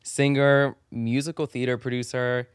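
A man's voice: mid-range voice, level tone, moderate pace, smooth, dry recording, studio quality. A man hosting a casual, chatty interview in an effusive, complimentary manner. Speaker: podcast host interviewing a guest.

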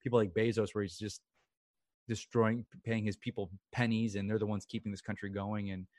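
A man's voice: lowish voice, flat delivery, brisk, a little mellow, dry recording, clean studio sound. Opinionated man co-hosting a podcast. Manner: opinionated, repeats words when worked up. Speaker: podcast co-host. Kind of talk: casual chat.

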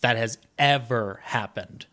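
An American man with a medium-pitched voice talks as a guest on a podcast. His delivery is casual and conversational, with the rambling enthusiasm of a devoted film buff. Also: slow pace, bright, forceful tone. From Colorado, United States. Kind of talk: casual interview chat about films and his life.

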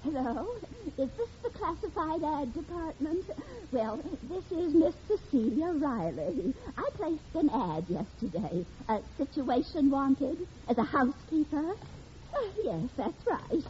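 Whiny martyr spinster, super high swoopy voice, old radio comedy, muffled recording. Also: female voice, very animated, measured pace, warm tone, a little hiss or hum. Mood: prim, coy. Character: weepy, self-pitying, touchy unmarried sister, easily wounded. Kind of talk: 1940s-50s radio comedy acting.

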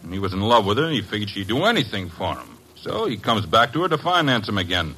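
Blunt, forceful radio tough guy. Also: lowish voice, very animated, fast, a little hiss or hum, low-quality recording. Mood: scornful. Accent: American. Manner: streetwise, blunt, slangy. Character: blunt, slangy tough guy, hot-tempered male murder witness. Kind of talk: old-time radio crime drama acting.

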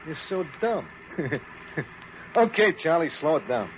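An actor playing a cocky, mocking hired thug who bosses people around in an old-time radio drama. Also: male voice, on the high side, sing-song, a little slow. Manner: mocking, wisecracking, bossy, chuckling. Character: cocky, sneering hired gunman, taunting thug. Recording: muffled, faintly noisy old radio recording.

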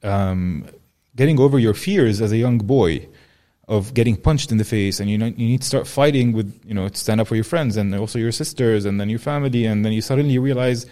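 Podcast host from Jordan, low-pitched, quick and loose, swears casually. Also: male voice, dark tone. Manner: fast, rambling, swears freely. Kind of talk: casual interview chat.

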